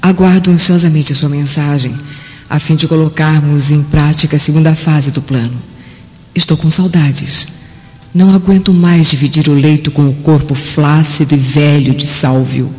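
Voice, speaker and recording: An actress playing a scheming, frustrated Roman adulteress, reading out a letter in conspiratorial, measured radio drama style. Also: female voice, sits low, a little mellow, lightly acted. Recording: muffled, long reverb, music behind.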